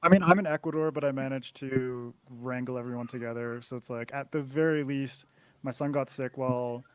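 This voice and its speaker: A man's voice: mid-range voice, a little fast, crowd noise, low-quality recording. A Canadian man, a podcast guest, chatting casually and rambling a little over a video call. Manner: relaxed, rambling, swears casually.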